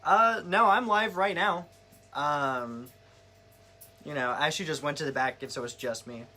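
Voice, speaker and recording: Very low-voiced woman, casual co-host. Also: a little slow, bright, forceful tone, soft background music. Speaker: live stream co-host reading viewer comments. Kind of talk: casual live chat with viewers. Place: craft store. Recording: clearly noisy background with clicks.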